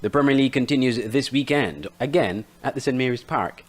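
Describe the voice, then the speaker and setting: A man's voice: medium pitch, brisk. A man reading scripted sports news as a newsreader. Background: music bed.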